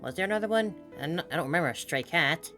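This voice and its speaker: A woman's voice: sits low, lively intonation, fast talker. A woman game streamer giving casual playthrough commentary, musing and humming to herself. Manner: musing, hums to self. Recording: game music behind.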